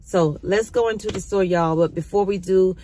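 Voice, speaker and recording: Slightly raspy woman chatting in her car. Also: medium pitch, moderate pace, mellow. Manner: chatty, addresses viewers as y'all. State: recovering, occasional cough. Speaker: vlogger. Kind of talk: casual chat to viewers. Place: parked car. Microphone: phone camera at arm's length.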